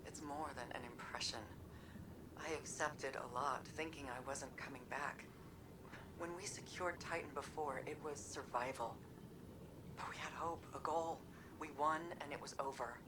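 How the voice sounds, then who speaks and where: A woman's voice: deep voice, fairly even intonation, normal speed, noisy background, natural voice. A woman voicing a tough, blunt female soldier in a video game cutscene, plainspoken and candid. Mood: earnest.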